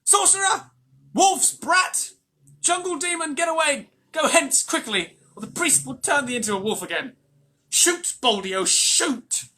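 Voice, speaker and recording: A storyteller voicing an angry village crowd hurling shrill accusing shouts. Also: lively intonation, a little slow, exaggerated voice. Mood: shouting.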